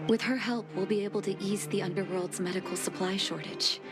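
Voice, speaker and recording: A woman's voice: mid-range voice, steady tone, a little fast, lightly acted. A woman playing an earnest young doctor. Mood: resolved. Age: young adult. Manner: gentle, heartfelt. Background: game music.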